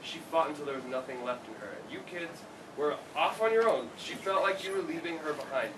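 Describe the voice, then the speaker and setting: A man's voice: fairly high pitch, expressive, brisk, natural voice. A man playing a widowed, reproachful but devoted old father in a staged play reading. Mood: reproachful, upset. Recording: distant mic, echoey noisy room.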